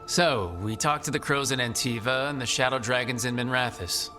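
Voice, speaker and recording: An actor playing an earnest, direct resistance hero in a fantasy video game. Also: male voice, mid-range voice, moderate pace, music in the background, close to an everyday voice. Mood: earnest, resolute.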